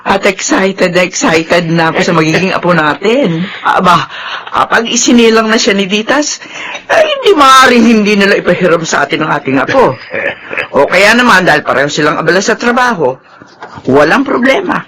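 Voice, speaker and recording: An actress playing a caring, excitable older woman, an expectant grandmother, in a radio soap. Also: mid-range voice, moderate pace, slight background noise. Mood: excited, delighted. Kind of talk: Philippine radio soap drama acting.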